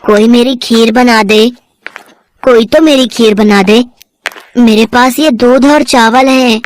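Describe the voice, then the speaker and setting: A woman voicing a calm, sweet boy god in a devotional cartoon story, gently insistent and respectful. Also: on the high side, slow pace, a little hiss or hum. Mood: pleading.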